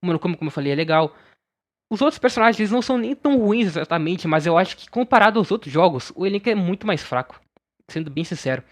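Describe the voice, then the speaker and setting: A man's voice: sits high, lively intonation, quick pace. A young man with a Brazilian accent giving casual, informal and blunt opinion commentary as a video game reviewer.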